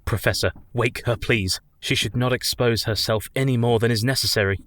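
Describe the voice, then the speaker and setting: An actor playing a protective, troubled young Victorian husband in a radio play, formal and earnest. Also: male voice, medium pitch, fairly even intonation, moderate pace, a bit bright, no echo, natural voice. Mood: worried.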